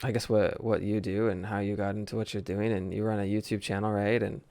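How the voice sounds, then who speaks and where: A man's voice: on the low side, level tone, brisk, warm tone, dry recording. A man hosting a casual podcast interview, easygoing and hesitant, often restarting his sentences. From United States. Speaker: podcast host.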